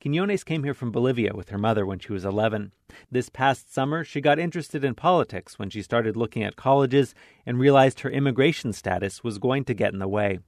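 A man narrating a radio news feature in a measured, scripted delivery. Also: medium pitch, dry recording, studio quality. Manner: measured, even reporting. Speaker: reporter.

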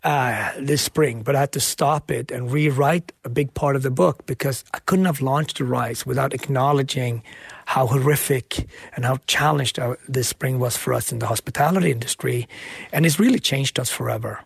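A man's voice: mid-range voice, moderate pace, gravelly, slightly rough, no room sound. A man from Sweden, a chef and author, answering questions earnestly in a radio interview. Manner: earnest, reflective, frequent "right?" tags.

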